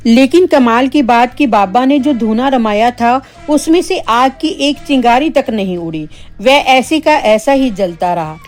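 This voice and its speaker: A woman's voice: a little high, a little slow, few pauses. A woman narrating a scripted spooky story for children as a storyteller. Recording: background music.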